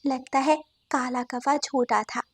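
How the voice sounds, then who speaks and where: Homely mother songbird for cartoon, woman performer, high voice, sceptical. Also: level tone, unhurried, breathy edge, no echo, studio quality, heavily acted. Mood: disappointed. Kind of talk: Hindi cartoon story dialogue.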